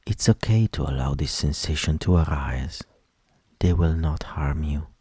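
A man leading a guided meditation in a soft, soothing voice with gentle instructions that trail into whispers. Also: extremely low pitch, husky, no room sound. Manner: slow, gentle instructions with long pauses.

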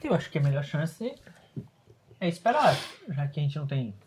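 A Brazilian man talks casually while playing a tabletop game. His voice is on the high side for a man, recorded with faint noise and clicks. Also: big pitch swings, normal speed. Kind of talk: casual chat and role-play.

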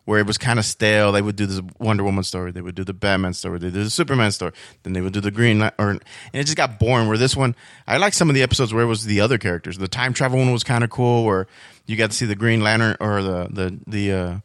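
A man's voice: on the low side, quick pace, fairly bright tone, no room sound, studio quality. A man chatting informally about comics as a podcast guest, in a rambling, enthusiastic fan's way. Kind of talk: casual chat about comics.

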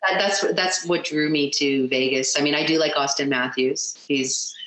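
Middle-aged female interview guest, Canadian accent, low-pitched for a woman, hesitant casual chat, roomy sound. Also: fairly even intonation, normal speed, fairly bright tone. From Edmonton, Canada. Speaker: interview guest, sports artist.

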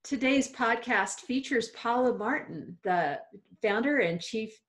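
A woman's voice: a little high, lively intonation, a little slow, fairly bright tone. A woman hosting a podcast interview, eager and affirming as she introduces and responds to her guest. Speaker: podcast host. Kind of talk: casual interview.

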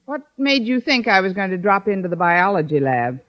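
An actress playing a wry, wisecracking English teacher in old radio comedy, with a dry, sardonic manner. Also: female voice, mid-range voice, very animated, brisk, close to an everyday voice. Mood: concerned. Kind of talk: 1940s-50s radio comedy acting. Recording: muffled old radio broadcast recording.

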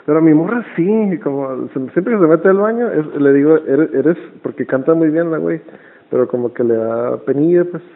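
A man's voice: on the high side, animated, a little fast. A man with a northern Mexican accent chatting casually and jokily as a podcast host, full of slang. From Nicaragua, moved to Tijuana, Mexico. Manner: slangy, jokey, laughs often. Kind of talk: casual phone chat for a podcast. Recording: thin, phone-like band-limited sound.

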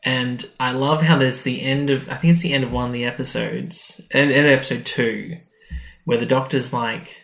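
A man's voice: medium pitch, steady tone, normal speed, gravelly, a bit bright, echoey room. A man co-hosting a podcast, casually recapping a story and acting out people's reactions as he retells it. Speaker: podcast co-host. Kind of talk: casual episode recap chat.

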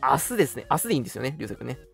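A man's voice: mid-range voice, sing-song, rapid-fire, gravelly, a bit bright, husky, soft background music. A man hosting a casual radio talk show, making announcements in polite phrasing mixed with friendly banter. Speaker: radio show host and scriptwriter.

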